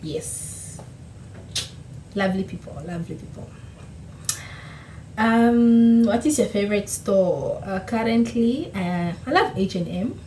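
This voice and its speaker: A woman's voice: mid-range voice, animated, slow pace, long pauses, mellow. A young woman from Namibia, whose first language is Oshiwambo, answering questions in a casual, chatty vlog, laughing and correcting herself. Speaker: YouTuber. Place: small room at home, indoors. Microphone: camera in front, seated. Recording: echoey room with faint hiss.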